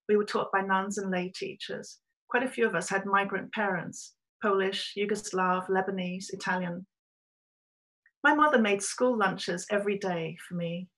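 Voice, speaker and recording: A woman's voice: mid-range voice, a bit bright. A middle-aged woman from Australia, a writer giving a prepared, reflective talk to camera from a small room over a webcam. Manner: measured, reflective storytelling.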